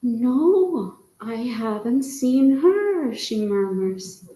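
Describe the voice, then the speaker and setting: A woman from Trinidad reading her poems aloud in a measured way, her line endings often dropping soft. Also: a little high, sing-song. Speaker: featured poet. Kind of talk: poetry reading. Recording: echoey room.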